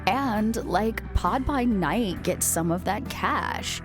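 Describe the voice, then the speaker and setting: A woman's voice: medium pitch, expressive, slow, over music. A woman voicing a spoiled, ditzy young valley-girl vampire who gushes with lots of filler words. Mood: perky, entitled. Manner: gushing, filler-heavy valley-girl talk.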